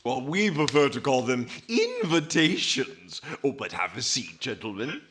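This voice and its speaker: Guy doing a smug officious taxman, slow, very lively pitch, old radio comedy, bit of noise. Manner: smug, officious, drawn-out phrasing. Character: snarky, officious male tax collection agent. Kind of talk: 1940s radio comedy acting.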